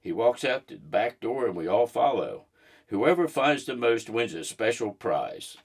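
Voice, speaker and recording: A man reading a children's book aloud in an expressive storybook narration style. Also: medium pitch, unhurried, vocal fry, slightly rough.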